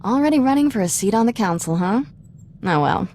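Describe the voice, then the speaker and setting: An actress playing an irreverent, streetwise young Jedi in a video game, speaking in a wry, informal way. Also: female voice, medium pitch, animated, a little fast, a little mellow, slight background noise, lightly acted. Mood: wry, teasing. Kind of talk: video game cutscene acting.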